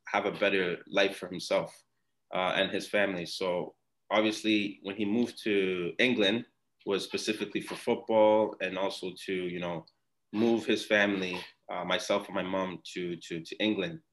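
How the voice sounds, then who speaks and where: Deep-voiced young guy from ghana, laid back, rambling with lots of you knows and restarts. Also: moderate pace. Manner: relaxed, rambling with many fillers and restarts. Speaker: podcast guest, former soccer player. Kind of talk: casual interview chat.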